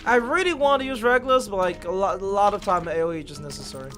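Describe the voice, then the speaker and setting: Excitable bright-voiced male game streamer. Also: high-pitched, fast, faint music behind. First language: Chinese. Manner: excitable, swears and exclaims at the game. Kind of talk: casual live-stream commentary.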